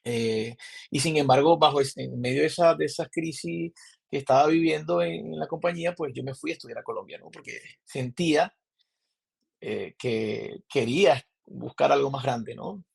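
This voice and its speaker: Venezuelan film director guest in his 30s, gravelly husky voice, rambling storyteller. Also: on the high side, normal speed. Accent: Maracaibo Venezuelan. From Zulia, Venezuela. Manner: rambling, frequent restarts and filler words. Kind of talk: casual interview storytelling. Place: remote call from abroad.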